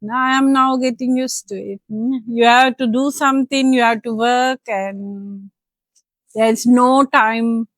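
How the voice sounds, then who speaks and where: Slow, hesitant Sri Lankan woman. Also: fairly high pitch, steady tone, fairly bright tone, no room sound, poor audio. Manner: hesitant non-native English with many fillers, slips into German. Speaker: restaurant owner and chef. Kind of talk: street interview, answering questions. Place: outdoors in front of her restaurant, then inside.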